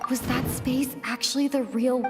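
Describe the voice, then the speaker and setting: A woman playing a young traveler who records a slow, measured, reflective message, in a video game cutscene monologue. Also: sits high, steady tone. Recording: game audio with music behind.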